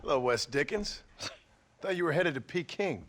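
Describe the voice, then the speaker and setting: An actor playing a gruff, defiant Western outlaw with an American accent in a game cutscene, blunt and confrontational. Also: male voice, on the high side, animated, moderate pace, fairly bright tone, a little hiss or hum. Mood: dry, firm. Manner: blunt, confrontational, dry sarcasm. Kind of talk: dramatic video game cutscene acting.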